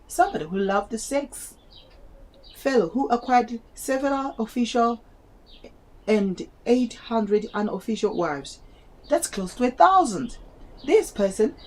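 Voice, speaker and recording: Woman, South African and Malawian English accent, non-native speaker, reading aloud, halting, repeats words. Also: fairly high pitch, a little mellow, poor audio. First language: not English. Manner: stumbles over words and repeats them, exclaims in wonder. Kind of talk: reading a history book aloud with asides.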